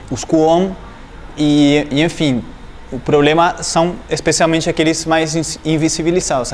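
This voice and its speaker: Man answering thoughtfully, higher-pitched. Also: a little mellow, a little hiss or hum. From Argentina, Entre Ríos province. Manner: thoughtful, many fillers and restarts, searches for words. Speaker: doctoral student, interviewee. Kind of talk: academic interview answers.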